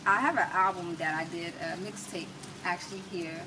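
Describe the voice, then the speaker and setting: A woman's voice: fairly low pitch, steady tone, brisk. An American woman with a small voice, chatting casually as an interview guest. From United States. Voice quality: small, soft-projecting voice. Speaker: interview guest, natural healer and spoken word artist. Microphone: camera mic at a distance, no wireless mic. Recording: distant mic, noisy ambient background.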